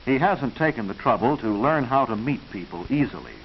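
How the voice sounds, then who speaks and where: Male narrator, American, mid-century educational film style. Instructive, proper, expressive intonation, dull hissy vintage audio. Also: mid-range voice. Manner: instructive, proper, measured enunciation. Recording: hissy, muffled 1940s film soundtrack.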